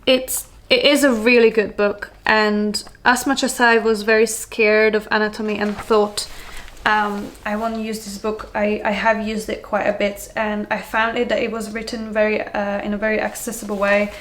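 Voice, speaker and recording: A woman's voice: medium pitch, little pitch movement, normal speed, fairly bright tone, echoey room, a little hiss or hum. A young woman from Slovakia with a mixed Slovak-Hungarian accent, chatting to camera in a casual, rambling way, full of ums, restarts and easy laughs. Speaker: yoga teacher vlogger.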